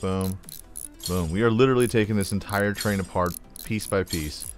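A man's voice: fairly low pitch, moderate pace, faint music behind. A man casually commenting on a mechanic game he plays, joking and talking to the parts as he removes them. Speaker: gameplay commentator. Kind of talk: casual let's play commentary.

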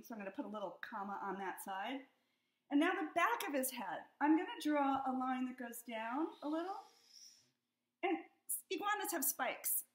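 A middle-aged woman with a bright, high voice walks children through a drawing lesson, upbeat and encouraging. She explains each step simply and warmly, recorded on a camera mic in a roomy, echoing home space. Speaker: children's book author and illustrator. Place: home room with high ceiling. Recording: echoey room.

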